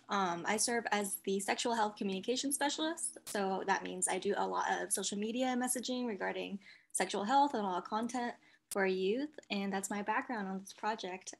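A woman's voice: medium pitch, gravelly. A woman from Oklahoma introducing herself as a health communication specialist, friendly and eager, over a video call. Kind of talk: casual self-introduction.

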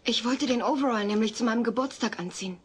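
A woman's voice: fairly high pitch, fast talker, breathy edge. A young woman playing a polite, coaxing teenage daughter in a sitcom audio play. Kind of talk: German-dubbed sitcom audio play acting. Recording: noisy old cassette audio play.

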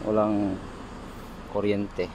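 A man's voice: fairly low pitch, little pitch movement, extremely slow. A man with a Filipino accent giving a casual hands-on tutorial, repeatedly addressing his viewers. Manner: repetitive, addresses viewers often. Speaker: car repair vlogger. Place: inside a parked car. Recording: noisy car interior with beeps.

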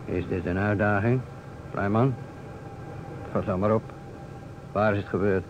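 Curious cop colleague male actor, vintage radio drama: lowish voice, keen and prompting, muffled tape. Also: fairly even intonation, a little slow, close to an everyday voice. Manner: inquisitive, prompting, hesitant interjections. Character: eager, curious policeman colleague, hanging on every word. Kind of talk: old Dutch radio play acting. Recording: muffled old radio recording, faint noise.

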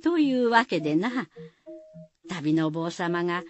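An actress playing a folksy village storyteller, narrating a radio folktale in homely rural Shizuoka dialect. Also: female voice, lowish voice, lively intonation, takes it slowly, highs cut off, a little hiss or hum. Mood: storytelling.

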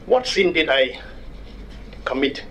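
Older man, Thai accent, public forum lecture, wry measured delivery, desk microphone, faint background noise. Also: on the high side, big pitch swings, fairly bright tone. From Thailand. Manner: wry, measured, self-deprecating humor. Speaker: guest speaker at a public forum. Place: university conference room.

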